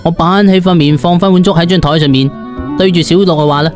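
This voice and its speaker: A man's voice: fairly high pitch, steady tone, fast, over music. A man with a Cantonese accent narrating a first-person audiobook in a colloquial, dramatised storytelling style.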